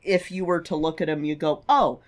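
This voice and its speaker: A podcast co-host chatting casually about a film, halting and often circling back to rephrase mid-thought. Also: medium pitch, fairly even intonation. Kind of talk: casual chat, film discussion.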